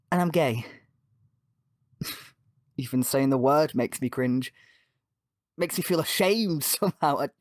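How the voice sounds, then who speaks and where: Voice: mid-range voice, no room sound, studio quality, natural voice. A performer playing an anxious young man confiding his feelings in a hesitant, wistful audio drama monologue. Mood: uneasy, ashamed, then earnest. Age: young adult. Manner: confessional, hesitant, wistful with nervous little laughs.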